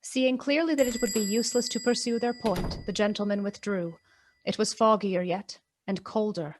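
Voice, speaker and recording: A woman narrating a Victorian tale for a radio play in a measured, literary way. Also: medium pitch, slight background noise, lightly acted. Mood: atmospheric. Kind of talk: scripted storybook narration.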